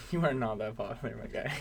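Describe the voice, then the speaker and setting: A man's voice: mid-range voice, creaky, very noisy. A man co-hosting a podcast in casual chat, reading out news items, laughing often and tripping over words. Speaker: podcast co-host.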